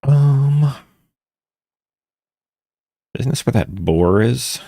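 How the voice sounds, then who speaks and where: A man's voice: mid-range voice, animated, very slow, gravelly, mellow. A man streaming a game, giving offhand commentary with joking asides. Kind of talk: casual gameplay commentary.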